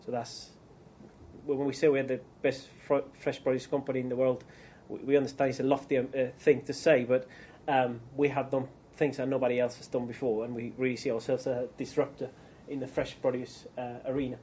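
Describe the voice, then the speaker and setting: A man's voice: mid-range voice, flat delivery, a little fast, mellow, slight background noise. A man from Chile with a Chilean accent, giving a keynote business presentation with frequent ums and restarts. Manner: hesitant, many ums and restarts. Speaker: keynote speaker.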